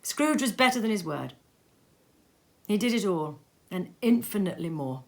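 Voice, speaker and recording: A woman narrating a classic tale as an expressive storybook reading at home, lively and theatrical, with many changes of voice. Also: medium pitch, normal speed, vocal fry. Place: cozy sitting room at home.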